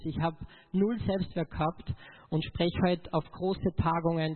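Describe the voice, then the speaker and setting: High-pitched Austrian man preaching, phone-like sound. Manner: emotional, emphatic, addresses listeners directly. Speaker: motivational speaker giving a testimony.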